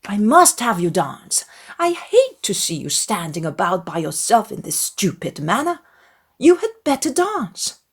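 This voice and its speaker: A woman voicing a cheerful, eager young gentleman in a dramatized audiobook, full of enthusiastic exclamations. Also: medium pitch, very animated, unhurried, slightly rough, full character voice. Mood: eager, urging.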